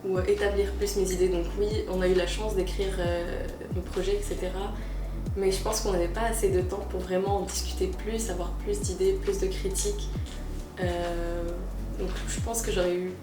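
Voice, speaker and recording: A woman's voice: mid-range voice, little pitch movement, recorded from a distance. Young woman telling her story, lots of euh, roomy echo, music under it. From Algeria. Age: young adult. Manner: earnest, with frequent "euh" pauses and restarts. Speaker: student interviewee. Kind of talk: casual testimonial interview.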